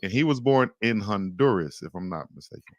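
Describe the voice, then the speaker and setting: A man hosting an interview show, asking brisk, leading questions. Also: on the low side, animated, no echo, lo-fi sound. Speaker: show host. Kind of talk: casual interview.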